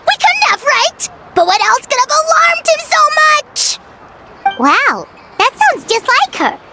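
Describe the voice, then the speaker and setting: Tiny fairy sidekick in a game, super squeaky, voice bouncing everywhere. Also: female voice, measured pace, heavily acted. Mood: excited. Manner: excitable, chattering. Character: small excitable fairy companion, girl.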